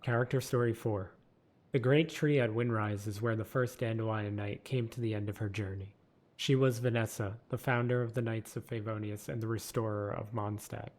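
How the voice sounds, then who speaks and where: A man narrating game lore from a script in a measured, steady reading. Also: fairly low pitch.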